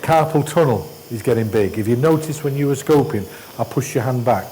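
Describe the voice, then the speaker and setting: A man's voice: mid-range voice, expressive, fairly quick, mellow, a little hiss or hum, low-quality recording. A middle-aged man teaching endoscopy hands-on, giving short blunt instructions and dismissive asides. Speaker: endoscopy trainer. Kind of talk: hands-on teaching, coaching a trainee. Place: hospital endoscopy training room.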